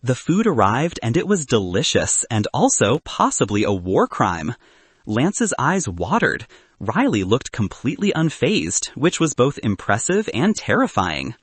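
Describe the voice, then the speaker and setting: Expressive male storyteller, husky, dry close sound. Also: medium pitch, a little slow, no room sound. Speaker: narrator. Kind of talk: scripted audiobook narration.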